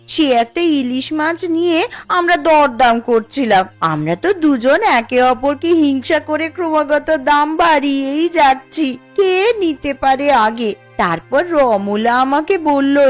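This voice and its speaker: Woman voicing a high-pitched competitive cartoon housewife. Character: jealous, competitive young housewife, quick to relent. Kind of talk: Bengali cartoon dialogue.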